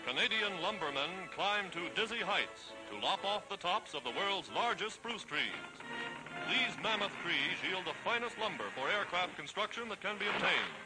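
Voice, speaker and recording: A man's voice: high-pitched, expressive, normal speed, low-quality recording. A man narrates a wartime newsreel in a formal, declamatory announcer style, on a vintage 1940s film soundtrack. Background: loud orchestral newsreel music.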